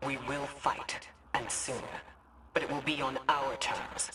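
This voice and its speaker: A woman playing a steady, cautious and resolute commander in a video game, speaking with controlled firmness. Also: a bass voice, slow, very noisy. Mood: concerned, resolute. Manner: controlled, firm. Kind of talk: video game character dialogue. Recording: distant, off-mic game dialogue.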